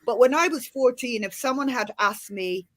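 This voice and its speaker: A middle-aged woman from Ireland with a softened Irish accent, hosting a casual panel chat over a video call, talking in a chatty, animated way with many restarts. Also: a little high, normal speed. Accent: Irish, softened by years in the UK. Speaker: host.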